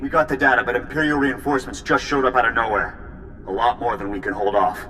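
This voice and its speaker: Man playing tense, sharp-voiced soldier reporting in. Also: mid-range voice, fairly even intonation, fast, big-room sound, slight background noise, close to an everyday voice. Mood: urgent. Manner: urgent, clipped. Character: tense, gruff male soldier reporting from battle. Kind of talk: video game cutscene acting.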